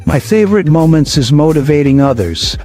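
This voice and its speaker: A man from Guyana answering prepared interview questions deliberately, repeating each question in his answer. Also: fairly high pitch, lively intonation, a little mellow. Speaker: interviewee, motivational platform founder. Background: music.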